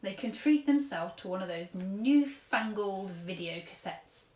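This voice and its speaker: A woman voicing a kindly, wise old man who talks gently in an old-fashioned way, in an echoey room. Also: medium pitch, lively intonation, unhurried, lightly acted. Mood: cheerful.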